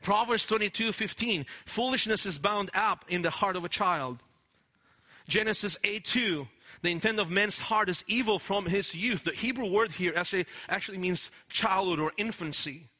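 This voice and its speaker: A man's voice: high-pitched, moderate pace, pushed and bright. A man preaching a sermon in an earnest, emphatic way, often restarting his words. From Romania. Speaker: pastor.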